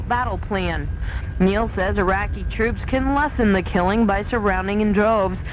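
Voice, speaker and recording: A woman hosting a midday radio newscast, reading scripted news on an AM broadcast taped off air. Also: medium pitch. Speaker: radio news host and newsreader. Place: radio studio. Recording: noisy AM radio aircheck off tape.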